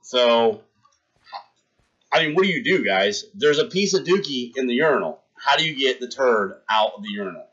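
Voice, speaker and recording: A man's voice: medium pitch, expressive, normal speed, slightly rough, highs cut off. A young man hosting a livestream, telling a rambling story in casual chat and talking to his viewers. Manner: rambling anecdote, talks to chat, shouts out followers. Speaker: livestream host.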